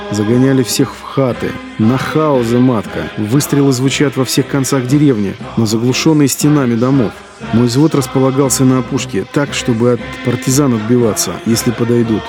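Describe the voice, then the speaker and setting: A bitter, cruel squad leader, played by a man speaks in a medium-pitched voice, harsh and contemptuous. His lines sit over a clearly audible music score in a radio play. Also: lightly acted. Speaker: first-person narrator and character. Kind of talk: radio drama acting.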